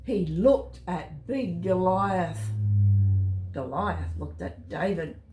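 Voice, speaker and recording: Slow, low-pitched woman reading to children. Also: lively intonation, a little mellow, slight background noise. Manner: simple, deliberate, addressing children. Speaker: children's storyteller and host. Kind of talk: read-aloud Bible storytime for children. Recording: roomy, echoey home recording.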